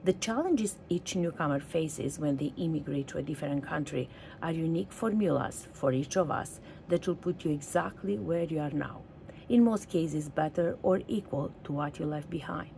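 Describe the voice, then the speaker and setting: Woman podcast host, kinda low voice, bit of background noise. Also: normal speed, gravelly, warm tone. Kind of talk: scripted intro and friendly interview.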